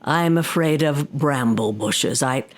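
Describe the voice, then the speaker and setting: A woman storyteller voicing a gruff, boastful male mountain demon in a told folktale. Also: very deep voice, takes it slowly, voice pushed down. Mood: wary.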